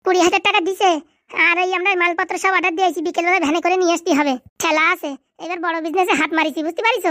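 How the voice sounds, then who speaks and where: Casting: brash comic cartoon schoolboy, acted out in a put-on voice, Bengali accent, piping high register, quick delivery. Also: steady tone, bright, forceful tone, no room sound, studio quality. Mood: brash, excited. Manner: brash, colloquial, bantering. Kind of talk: Bengali comedy cartoon dialogue.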